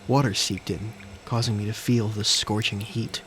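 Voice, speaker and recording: A man giving scripted first-person dramatic narration in deliberate, short phrases. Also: on the low side, little pitch movement, a bit bright. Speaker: narrator and character of an audio story. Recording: faint background noise and ambience.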